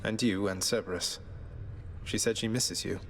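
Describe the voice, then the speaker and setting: A man's voice: on the low side, steady tone, normal speed, creaky, soft background music. A man voicing a wry, courteous young prince of the underworld in a video game, dry and understated. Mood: reflective. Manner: dry, understated, casual politeness. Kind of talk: video game character acting.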